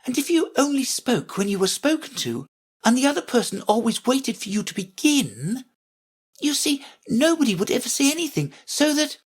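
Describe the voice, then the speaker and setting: A woman's voice: medium pitch, sing-song, normal speed, husky, voice pushed up. A woman voicing an eager, polite, earnest little girl who likes to argue, in a children's storybook. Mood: timid, argumentative.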